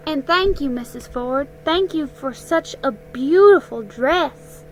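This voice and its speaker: A woman's voice: sits high, slow, faint music behind, pitched above the performer's own voice, rough recording. A woman voicing an eager, polite young girl in a children's story. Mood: polite, grateful. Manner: bright, polite, excited.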